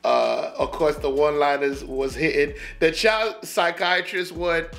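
A man reacts on camera with excited, slangy commentary. His voice is high and bright and sharp. Faint music plays behind him. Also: a little slow, vocal fry. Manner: excited, slangy, laughing asides. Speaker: video reaction host. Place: indoor room with blue backdrop.

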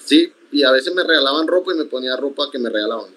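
A young man from the Colombian Sierra Nevada whose first language is Iku, calmly answering interview questions over a video call from a room at home. He talks in a reflective way and often restarts his sentences. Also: mid-range voice. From Sierra Nevada de Santa Marta, Colombia. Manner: measured, reflective, with frequent restarts. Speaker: interview guest, accordionist. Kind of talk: conversational interview.

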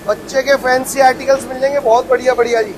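Man, factory owner, high and monotone sales patter, noisy shop floor. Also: quick pace, a crowd in the background. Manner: eager salesman, keeps inviting and promising quality. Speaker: footwear factory owner giving a tour. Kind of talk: sales pitch factory tour. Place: footwear factory floor, indoors. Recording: noisy factory machinery behind.

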